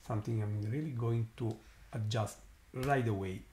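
A man with an Italian accent, his first language Italian, hosting a live astronomy stream and commenting slowly with many pauses and fillers, sounding amazed. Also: medium pitch, darkish tone, echoey room. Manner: slow, halting, many fillers, awed.

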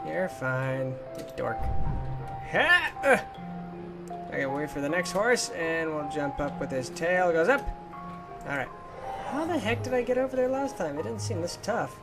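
A man's voice: fairly high pitch, very animated, measured pace, vocal fry. A young man giving casual let's play commentary, chatty and goofy with playful exclamations. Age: young adult. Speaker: gaming YouTuber. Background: game music.

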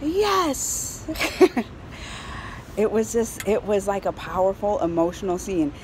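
A middle-aged woman giving a casual, excited review to camera in an animated, colloquial way. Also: medium pitch, slow. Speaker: vlogger reviewing a film. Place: outdoors on a high-rise terrace in a city. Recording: clear outdoor ambient city noise.

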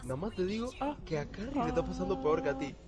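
A young man gaming with friends, shouting orders, swearing and laughing at the chaos. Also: fairly high pitch, expressive, quick pace. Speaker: gamer in a co-op group. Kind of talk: loud casual gaming banter.

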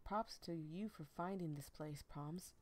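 Visual novel boyfriend played by a woman: young man, teasing and cocky, easygoing delivery, slow pace. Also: deep voice, darkish tone. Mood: playful disgust. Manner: casual, playful banter.

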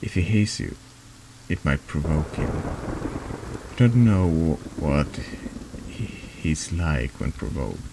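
A man reading a horror text game aloud in a soft ASMR narration style, halting and stumbling over longer words. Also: extremely low pitch, big pitch swings, vocal fry, background noise.

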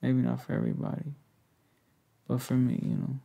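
Soft, very gravelly young man. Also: huge pitch swings. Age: young adult. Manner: slow, hesitant, tender, low-key while lying down. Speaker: ASMR roleplay performer. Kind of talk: intimate ASMR boyfriend roleplay, soft-spoken. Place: indoors, lying on a bed in a bedroom.

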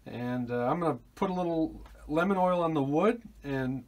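A man's voice: on the high side, normal speed. A man giving casual, rambling commentary as he works hands-on at a workbench, thinking aloud informally. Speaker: repair video host, technician. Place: small indoor workshop at a workbench. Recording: clear background noise, handling rustle.

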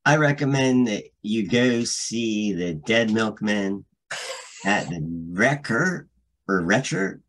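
A man's voice: fairly low pitch, animated, slow, a bit bright. A man on a video call, playfully plugging a show and repeating words for laughs. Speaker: band member. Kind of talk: casual chat.

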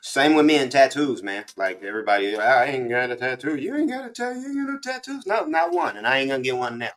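Male host, middle-aged, American accent, mid-pitched voice; loose, halting, slangy interview chat over video call. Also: sing-song, fairly bright tone. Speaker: interviewer, host of a hip hop show. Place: small room full of memorabilia, indoors, on a video call.

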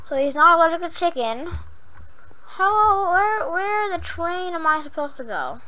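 A young woman playing a horror game, hoarse from losing her voice, jumpy and prone to yelps and squeals when startled, then chatty again. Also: very high-pitched, sing-song, a little slow, sharp tone. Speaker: gaming channel host. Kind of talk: Minecraft horror map let's play.

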